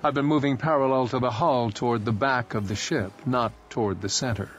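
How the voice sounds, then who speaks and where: A man's voice: mid-range voice, fairly even intonation, a little fast, slight background noise, lightly acted. A man reading aloud voicing a young male soldier who is blunt and dismissive. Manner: dismissive, matter-of-fact.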